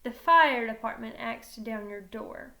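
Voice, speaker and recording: A woman's voice: a little high, a little slow, gravelly, bright, forceful tone, exaggerated voice. A woman voicing a wry, reasoning boy who games with his friends in a story.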